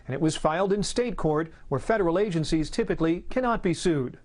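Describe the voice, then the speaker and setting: An American man works as a TV news reporter, reading a scripted report. His voice sits a little high for a man, and the recording is dry and close. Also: lively intonation, fairly quick, a little mellow, dry recording. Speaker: investigative TV reporter.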